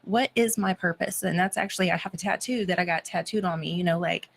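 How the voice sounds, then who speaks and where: A woman guest on a talk show speaks softly and hesitantly, pausing often. Her voice is low and gravelly. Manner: soft, hesitant, many fillers and pauses. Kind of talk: personal testimony on a talk show.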